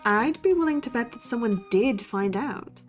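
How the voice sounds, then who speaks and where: Dry, skeptical young actress as a college sleuth. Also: female voice, a little high, expressive, normal speed, faint music behind, lightly acted. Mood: analytical. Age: young adult. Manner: dry, sardonic, analytical. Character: dry, skeptical young female college true-crime podcaster. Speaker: podcast co-host. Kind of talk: scripted banter in a horror audio drama.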